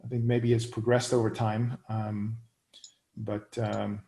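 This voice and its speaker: A man in his thirties, raised in the Netherlands, answering interview questions in a hesitant, conversational way with many pauses to search for words. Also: lowish voice, little pitch movement, dark tone.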